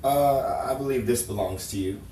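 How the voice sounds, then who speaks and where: A man's voice: mid-range voice, normal speed, warm tone, natural voice. A man playing a flustered, eager-to-please painter in a 1950s-style radio romance, stammering and apologetic. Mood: polite, eager. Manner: stammering, apologetic, nervously chuckling. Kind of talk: 1950s-style live radio drama acting. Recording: roomy, distant mic, faint noise, audience.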